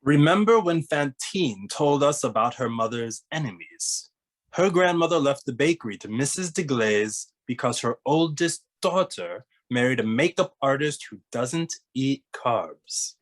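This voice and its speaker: A man playing a smart, measured ghost detective in an animated comedy table read. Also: medium pitch, natural voice. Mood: confident, explaining.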